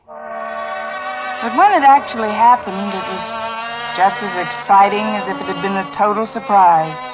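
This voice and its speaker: A woman's voice: mid-range voice, close to an everyday voice, poor audio. An actress playing a poised, sardonic career woman in her thirties, dry and polished, in 1940s radio drama style. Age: 30s. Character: poised, sardonic best-dressed career woman confessing to murder. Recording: old radio broadcast with music underneath.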